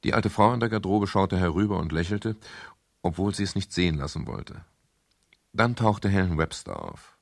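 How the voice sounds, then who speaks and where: Gravelly, very low-voiced world-weary detective. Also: male voice, animated, normal speed, heavily acted. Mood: dry, wry. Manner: dry, wry. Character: world-weary male private detective narrating his case. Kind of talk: 1970s German radio crime drama, first-person narration and dialogue.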